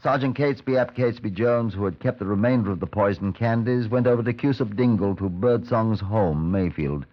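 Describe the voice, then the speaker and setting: An actor playing a stern, measured police superintendent who narrates a case in a 1950s radio drama. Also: male voice, fairly low pitch, lightly acted. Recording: dull vintage 1950s radio recording.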